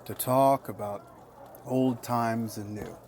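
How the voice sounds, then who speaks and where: An actor playing a polite, guarded man in an audio drama, with measured and formal phrasing. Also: medium pitch, steady tone, gravelly, darkish tone, a little hiss or hum. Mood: troubled. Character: grieving, guarded, polite male friend.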